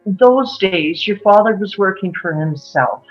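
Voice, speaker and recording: A woman playing a guarded, wistful small-town woman who confides old secrets haltingly in a drama table read. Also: medium pitch, animated, close to an everyday voice. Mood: wistful, storytelling. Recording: muffled, music underneath.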